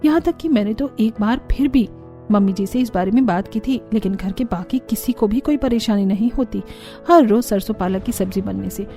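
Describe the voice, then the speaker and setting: A woman's voice: mid-range voice, normal speed, dark tone, soft background music, lightly acted. A woman voicing a weary but helpful elder sister-in-law in a cartoon story, explaining things emphatically. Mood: weary, grumbling. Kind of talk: Hindi cartoon story character acting.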